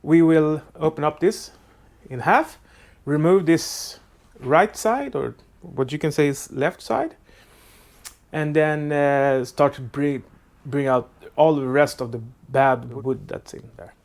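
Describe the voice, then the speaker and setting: A man with a Swedish accent who speaks English as a second language, explaining his boat repairs in a casual how-to vlog. His voice sits a bit high and he talks slowly, filling pauses with frequent uhs and restarting his sentences. He is recorded in a makeshift workshop. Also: vocal fry, darkish tone. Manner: halting, many filler uhs and restarts. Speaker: boat restoration vlogger.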